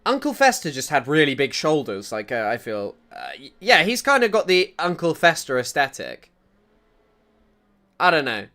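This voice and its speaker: Young male commentator, bright voice, pitch jumps all over, mocking, rambling with fillers. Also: huge pitch swings, normal speed. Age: 20s. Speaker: commentator reacting to clips. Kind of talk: casual reaction commentary.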